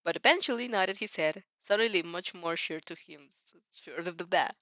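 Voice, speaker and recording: A woman's voice: lowish voice, moderate pace, sharp tone, dry recording, muffled recording. A woman narrating fan fiction dramatically, often stumbling and rephrasing her lines as she reads. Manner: repeats and rephrases phrases.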